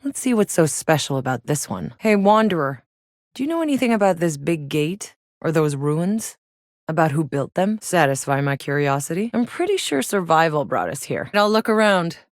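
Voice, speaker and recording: A woman's voice: low-pitched, animated, moderate pace, dry recording. An actress playing a tough, weary, sardonic female soldier in a sci-fi video game, blunt and dry in manner. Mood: businesslike. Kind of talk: scripted video game character dialogue.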